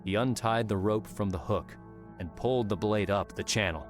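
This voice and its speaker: Male narrator, very low voice, measured dramatic reading, music playing underneath. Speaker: audiobook narrator. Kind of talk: scripted audiobook narration. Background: background music.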